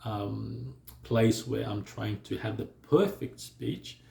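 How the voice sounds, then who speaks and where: A man who stutters, talking calmly and reflectively to camera, with pauses and occasional blocks on words. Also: lowish voice, creaky, echoey room. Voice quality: stutters and blocks on some sounds. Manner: calm, measured, with pauses and fillers. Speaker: vlogger sharing stuttering experience. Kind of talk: reflective personal talk to camera.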